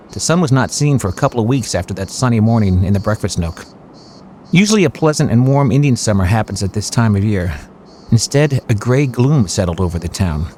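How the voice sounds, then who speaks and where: A man playing a small-town husband and father, narrating his memories in a measured, conversational way for a horror audio drama. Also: fairly low pitch, darkish tone, natural voice. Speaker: first-person narrator. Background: music.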